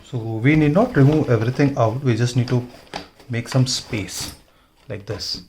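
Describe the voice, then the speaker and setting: A man's voice: mid-range voice, moderate pace, a little mellow, slight background noise. A man giving an informal hands-on tutorial, thinking aloud as he works. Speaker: motovlogger demonstrating gear installation. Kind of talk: casual hands-on tutorial narration.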